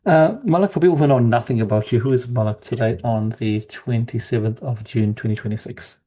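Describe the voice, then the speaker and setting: A man's voice: medium pitch, a little fast, warm tone. A man hosting a casual podcast conversation, rambling and repeating phrases for emphasis. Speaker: podcast host.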